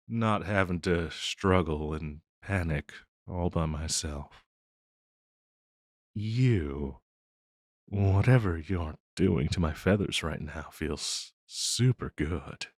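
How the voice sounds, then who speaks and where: A man's voice: sits low, no room sound, clean studio sound. A man playing an affectionate, easily flustered harpy boyfriend in an intimate audio roleplay, speaking tenderly and a little hesitantly with a teasing streak. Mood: soothed, loving.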